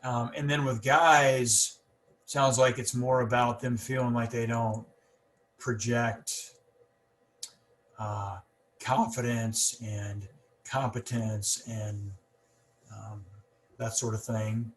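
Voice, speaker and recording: A man's voice: medium pitch, flat delivery, slow, long pauses, a little hiss or hum. A man hosting a casual podcast conversation, talking with a stutter and many repeats and fillers. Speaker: podcast host.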